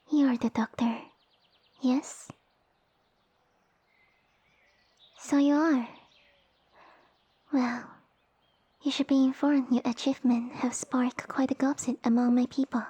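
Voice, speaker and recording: A woman voicing a small, haughty young snake-girl noble in an ASMR roleplay, speaking softly with pompous, formal condescension. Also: high-pitched, slightly rough, dry recording. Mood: proud, condescending. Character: small haughty young lamia noblewoman, sassy and easily offended. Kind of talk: ASMR audio roleplay acting. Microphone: close dry microphone.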